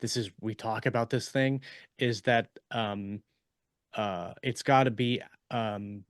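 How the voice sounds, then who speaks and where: A man's voice: fairly low pitch, steady tone, slow, dry recording, studio quality. An American man chatting casually as an author on a podcast panel, groping for words as he explains. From United States.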